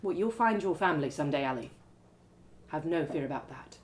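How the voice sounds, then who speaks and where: A woman's voice: sits low, animated, a little fast, roomy sound, a little hiss or hum, pitched below the performer's own voice. A woman voicing a friendly, talkative, emphatic city woman who guides a young newcomer in a story. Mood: reassuring. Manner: chatty, emphatic, reassuring. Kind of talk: storybook character voice.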